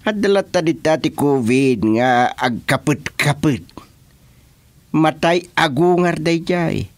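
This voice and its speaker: An actor playing an animated, teasing village man with an Ilocano accent in a comedy radio drama, given to exclamations. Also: fairly high pitch, slow pace, dry recording. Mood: gossipy, animated.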